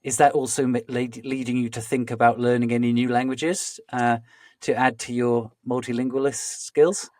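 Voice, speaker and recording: A man hosting a podcast, asking long, halting questions with many restarts and explaining with analogies. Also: mid-range voice, level tone, darkish tone. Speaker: podcast host. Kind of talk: conversational interviewing.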